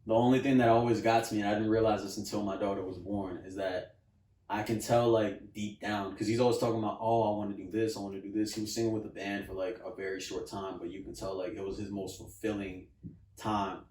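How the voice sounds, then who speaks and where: Laid-back young man, low voice, roomy. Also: level tone, quick pace, darkish tone, recorded from a distance, a little hiss or hum. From New Jersey. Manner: laid-back, many "like" and "you know". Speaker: guest, rapper and engineer. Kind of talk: casual interview chat. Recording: roomy, from off mic.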